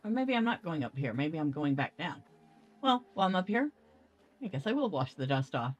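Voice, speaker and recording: A woman's voice: sits low, big pitch swings, brisk, highs cut off, soft background music. A woman hosting a casual let's play, thinking aloud playfully as she goes. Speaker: gaming video host.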